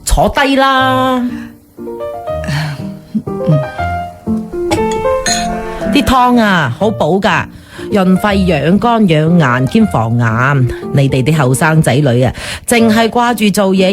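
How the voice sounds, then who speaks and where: Gossipy pushy sister-in-law in a radio drama, very emphatic, music clearly behind her. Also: fairly low pitch, expressive, unhurried. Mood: proud, chatty. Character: chatty, forthright sister-in-law, effusive and pushy.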